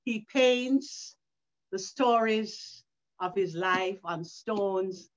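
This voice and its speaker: A woman's voice: mid-range voice, drawn-out words. An older woman from the Caribbean, an author and educator, speaking earnestly on a video call from her living room, with frequent restarts. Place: living room at home, indoors. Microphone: video call webcam. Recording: dull lo-fi call audio.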